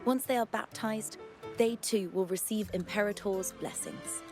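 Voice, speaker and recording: An actress plays a female customs officer in a fantasy video game. Her voice sits at a middle pitch and she talks with official, dutiful politeness. Music is clearly heard behind her. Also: a little slow, close to an everyday voice. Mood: deferential. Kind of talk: scripted video game voice acting.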